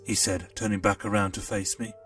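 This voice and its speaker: A man narrating a short audiobook in the first person with an expressive storytelling delivery. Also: on the low side, brisk. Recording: distant mic, faint music.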